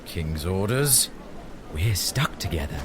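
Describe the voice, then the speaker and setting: An actor in an audio drama playing a gruff, scornful seasoned knight with a curt, disdainful manner. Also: male voice, deep voice, animated, takes it slowly, background noise. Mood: sullen.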